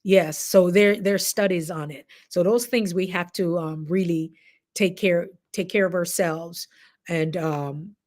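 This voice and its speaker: A woman's voice: fairly low pitch, fairly even intonation, unhurried, darkish tone. A woman with a Jamaican accent hosting a casual livestream, talking directly to viewers in a conversational, encouraging way. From Caribbean, Jamaica. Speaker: livestream host, coach.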